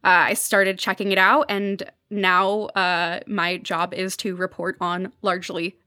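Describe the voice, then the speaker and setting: A woman's voice: on the low side, little pitch movement, unhurried, sharp tone, no echo, clean studio sound. A young adult woman talking conversationally as a podcast guest, explaining things with enthusiasm. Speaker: podcast guest, journalist covering fiction podcasts. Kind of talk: conversational interview commentary.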